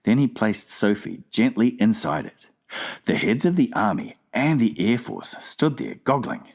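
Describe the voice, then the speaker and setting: A woman's voice: extremely low pitch, expressive, unhurried, creaky, a little mellow, husky. A woman narrating a children's audiobook in a dramatized storytelling style.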